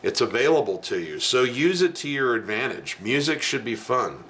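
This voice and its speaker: A middle-aged man with a low voice teaching piano in a casual video lesson, talking bluntly and chatting between the keys he plays. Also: very animated, moderate pace, fairly bright tone, a little hiss or hum. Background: piano playing.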